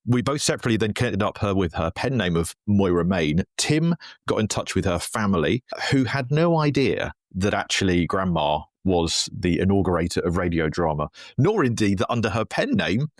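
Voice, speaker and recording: A man's voice: low-pitched, sing-song, normal speed, no echo, clean studio sound. A man talking conversationally as an interview guest, telling history in a chatty, digressive, self-deprecating way. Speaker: writer and radio historian, podcast guest.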